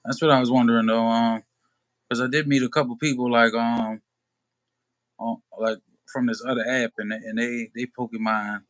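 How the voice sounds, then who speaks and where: A man's voice: medium pitch, level tone, normal speed, dry recording. A man hosting a livestream, chatting casually to his viewers in a laid-back, slangy way with lots of fillers. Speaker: livestream host.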